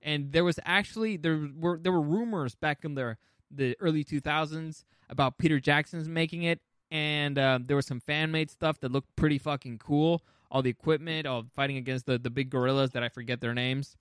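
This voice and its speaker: A man's voice: a little high, steady tone, moderate pace, fairly bright tone, smooth, dry recording, studio quality. A man co-hosting a podcast, chatting casually and enthusiastically with some swearing. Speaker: podcast co-host. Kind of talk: casual conversational chat.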